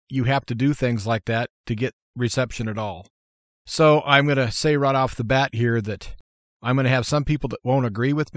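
An American man hosting a show, giving informal how-to advice in a plainspoken, folksy, explanatory way. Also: mid-range voice. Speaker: radio show host.

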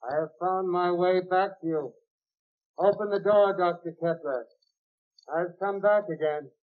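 A man's voice: high-pitched, measured pace. A man acting an eerie returning patient in an old-time radio horror drama, insistent and portentous. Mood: eerie summoning. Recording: muffled vintage radio.

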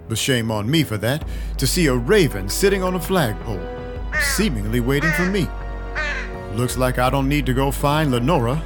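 A man's voice: mid-range voice, lightly acted. An actor playing a wry, hard-boiled vampire detective in an audio drama, dry, matter-of-fact and clipped in his delivery. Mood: brooding narration. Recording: music under the voice. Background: music.

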